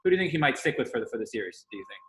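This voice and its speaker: A man co-hosting a sports podcast, asking casual questions in halting, rambling phrases with a roomy sound. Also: mid-range voice, big pitch swings, fairly bright tone. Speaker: podcast co-host, interviewer.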